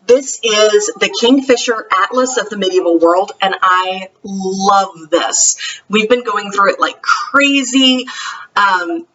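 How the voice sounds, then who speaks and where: A woman's voice: medium pitch, pushed and bright, far from the mic, highs cut off, a little hiss or hum. A woman talking casually and enthusiastically, like a homeschool vlogger reviewing books. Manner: chatty, enthusiastic. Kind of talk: casual vlog book review.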